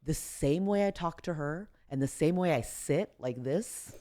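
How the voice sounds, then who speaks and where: A woman with a deep voice, a comedian guest telling a story in casual podcast chat, relaxed and low-key. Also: a little slow. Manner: relaxed, low-key, acts out quotes with raised voice.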